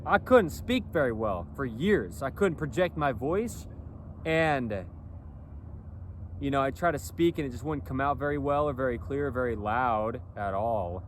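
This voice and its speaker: A man talks straight to the camera outdoors in a loose, rambling way, checking in with the listener at nearly every turn. His voice sits at an ordinary middle pitch. Faint city noise hums behind him, with passing planes and sirens. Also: animated, unhurried. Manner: rambling, keeps tagging "okay?" and "you know". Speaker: clothing brand vlogger. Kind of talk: casual outdoor monologue. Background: faint traffic, airplanes and sirens.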